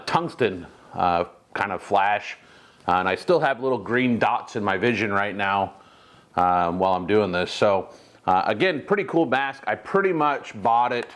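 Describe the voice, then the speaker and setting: An American man with a fairly low voice talks through a hands-on project for his how-to channel. His delivery is casual and laid-back, rambling along with hesitant phrasing. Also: a bit bright. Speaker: host of a metal fabrication how-to channel. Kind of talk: casual workshop vlog talk.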